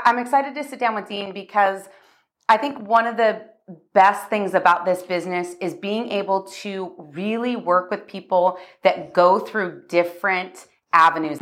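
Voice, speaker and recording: A woman's voice: medium pitch, fairly bright tone. A woman hosting a podcast, chatting in a bubbly way with plenty of fillers as she interviews a guest. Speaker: podcast host. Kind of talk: casual interview.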